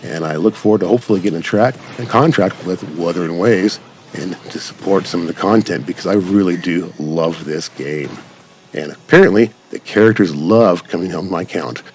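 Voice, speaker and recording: A man's voice: low voice, normal speed, slight background noise. A man giving casual, unscripted commentary as host of a gaming channel, rambling along in long run-on sentences. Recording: music over the voice. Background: music.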